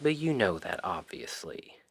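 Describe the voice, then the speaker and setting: A man's voice: deep voice, sing-song, a little slow, creaky, husky, no echo, exaggerated voice. A man playing an exasperated, secretly smitten young Cupid best friend in a conversational, emphatic ASMR roleplay. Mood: wry, matter-of-fact. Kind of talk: ASMR roleplay audio drama, one-sided conversation.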